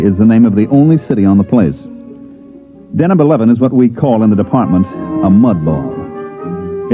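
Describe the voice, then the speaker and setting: A hard-boiled male investigator, played by a radio actor tells his case at a rapid clip. He is wry and weary, full of dry wisecracks. The sound is a dull vintage radio recording with music underneath. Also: fairly low pitch, lightly acted. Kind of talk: 1950s radio drama acting, hard-boiled narration. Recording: muffled old radio recording, music behind.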